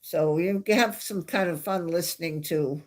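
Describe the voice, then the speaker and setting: A woman with a New York accent hosting a casual live stream, chatting in a rambling way and laughing softly now and then. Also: lowish voice, measured pace. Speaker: livestream host.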